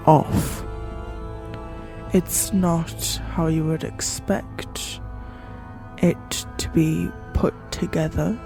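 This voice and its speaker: Horror podcast narrator: very slow, creaky mid-pitched voice, measured and theatrical, musing to the listener, music underneath. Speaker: narrator and host of an audio horror fiction show. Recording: music behind the voice. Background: background music.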